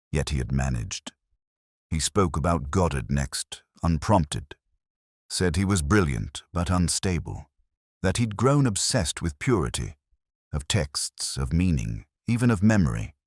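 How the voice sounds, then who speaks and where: A man reading a scripted first-person detective story in a measured, literary, brooding manner. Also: rumbling low, husky, dry recording, clean studio sound. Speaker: narrator.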